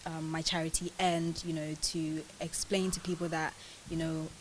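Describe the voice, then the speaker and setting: A woman in her twenties from Ghana speaks as an interview guest, answering in a casual, chatty way. Her voice is on the low side, and there is a faint hiss on the recording. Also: level tone, normal speed. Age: 20s. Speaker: interview guest, pageant winner. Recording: clearly hissy, noisy sound.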